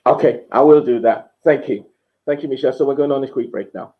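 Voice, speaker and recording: A male show host with a croaky voice, speaking casually and addressing listeners directly as he leads into a break. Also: medium pitch, quick pace. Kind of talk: hosting a talk show. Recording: dull lo-fi audio.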